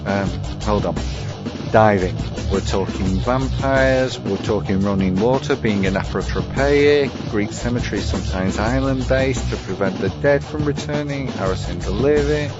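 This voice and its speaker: A man's voice: fairly low pitch, normal speed. A man giving a scripted, wry and bookish commentary as a podcast segment presenter. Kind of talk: scripted commentary on vampire lore. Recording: dull lo-fi sound, music behind.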